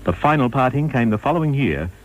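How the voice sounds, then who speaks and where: A man's voice: mid-range voice, expressive, fast talker, muffled recording. A man reading scripted historical narration. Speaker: documentary narrator.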